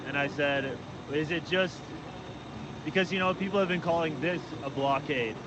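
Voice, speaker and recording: A man with a slightly high voice interviews people casually on the street. He is conversational and agreeable. Loud vehicle and engine noise runs through the whole outdoor recording. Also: moderate pace, dull audio. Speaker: livestreamer interviewing protesters.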